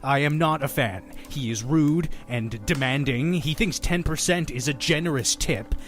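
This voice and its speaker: A man playing a fussy, sardonic innkeeper who tells dark horror tales in a theatrical, deliberate way for an audio drama. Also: a little high, faint music behind, close to an everyday voice. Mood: exasperated, sarcastic. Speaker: storytelling host of a horror anthology.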